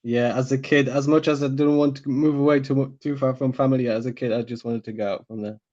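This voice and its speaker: Relaxed male podcast guest, mellow warm tone, easygoing, dull lo-fi remote line. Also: mid-range voice, fast. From Slavic country, raised in Northeast England. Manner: laid-back, laughs often, trails off. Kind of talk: casual podcast chat.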